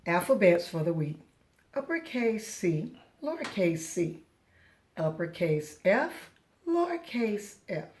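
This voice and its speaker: A woman speaking as a teacher of young children, encouraging and patient, going step by step and posing questions to the listener. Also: mid-range voice. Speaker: preschool teacher. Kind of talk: children's lesson, asking and answering questions.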